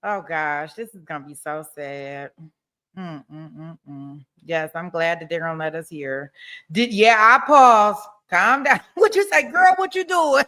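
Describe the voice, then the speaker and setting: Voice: mid-range voice, sharp tone. A live-stream commentator chatting casually to viewers, talking back to them and laughing often.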